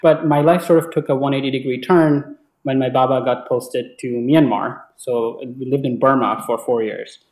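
A man's voice: mid-range voice, steady tone, moderate pace, hardly any pauses, a little mellow. A young man in his twenties from Bangladesh, a native Bengali speaker, telling stories casually as an interview guest, often hesitating and repeating words. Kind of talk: casual conversational storytelling. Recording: echoey, roomy sound.

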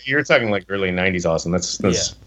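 A man's voice: deep voice, animated, fast, fairly bright tone. A man with a Texan accent, a podcast guest, trading dry, wisecracking remarks. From Texas. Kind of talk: casual banter.

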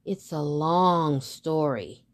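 A woman's voice: low voice, lively intonation, extremely slow, voice pushed down, exaggerated voice. A woman voicing a sad, lonely young male duckling in a storybook reading, with a plaintive, pleading way of talking. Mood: amazed.

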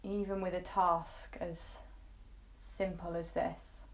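A woman leading a guided meditation, giving soft instructions in gently coaxing phrases with pauses. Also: lowish voice, little pitch movement, poor audio.

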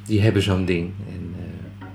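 A man's voice: deep voice, flat delivery, unhurried, creaky. A man chatting casually as an interviewed musician, in a hesitant, meandering way. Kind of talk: casual chat. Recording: echoey room with faint noise.

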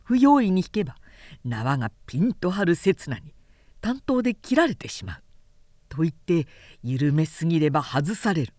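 A woman reading a literary story as a dramatic audiobook narrator, in a measured, expressive storytelling manner. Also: sits low, no room sound, clean studio sound. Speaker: narrator, announcer.

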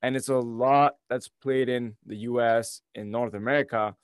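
A man's voice: medium pitch, steady tone, normal speed, clean tone, dry recording, clean studio sound. A man hosting an interview podcast, talking conversationally and asking rambling questions. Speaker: podcast host.

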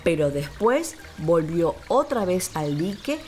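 A woman narrates a children's story as radio theatre. Her delivery is deliberate and theatrical, on the slow side with very lively intonation. Soft music runs underneath. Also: on the low side. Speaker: actress, storyteller.

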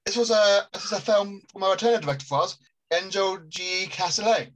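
A man's voice: high voice, fairly quick, rough recording. A man co-hosting a casual film podcast, reading out trivia. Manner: relaxed, amused, reads out trivia facts. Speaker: podcast co-host. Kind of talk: casual chat.

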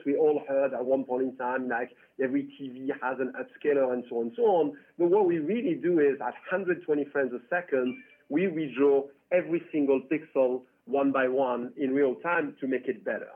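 A man from France with a French accent, a tech founder chatting through an interview with lots of "you know". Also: medium pitch. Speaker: tech company founder, guest. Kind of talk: casual interview. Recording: tinny phone-like line.

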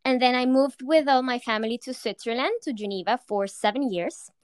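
Young Latin American woman founder, smooth voice. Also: fairly high pitch, a little fast, no echo, studio quality. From Argentina. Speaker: founder of a fitness company. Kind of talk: interview answers.

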